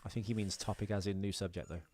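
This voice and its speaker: A man's voice: sits low, steady tone, fast talker, a little mellow. A man hosting a podcast, explaining things in casual, digressive film chat. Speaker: podcast host.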